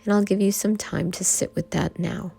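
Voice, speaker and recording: A woman guiding a yoga nidra meditation, giving gentle instructions in short phrases with pauses between them. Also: on the low side, steady tone, creaky, slightly rough. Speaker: meditation guide. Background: background music.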